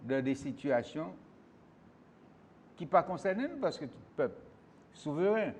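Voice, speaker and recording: A man's voice: fairly high pitch, big pitch swings, normal speed, a little mellow, a little hiss or hum. A man hosting a call-in political talk show, who questions and presses his guest.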